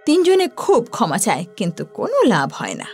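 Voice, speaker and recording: A woman narrating a scripted horror story in a dramatic, expressive way. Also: mid-range voice, normal speed, breathy edge, faint music behind.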